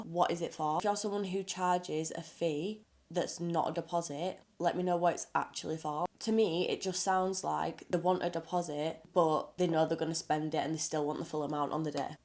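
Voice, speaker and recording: A woman with a low voice talks casually to her camera, sounding stuffed up and nasal from a cold. She is blunt and outspoken and swears without fuss. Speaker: makeup artist. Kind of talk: casual reaction vlog commentary.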